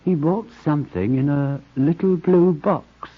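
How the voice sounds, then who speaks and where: An actor playing a dutiful, respectful male employee reporting in a 1940s radio drama, with a husky voice. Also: on the high side, unhurried. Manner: respectful, explanatory. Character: dutiful, deferential man reporting to his employer. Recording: muffled vintage radio recording.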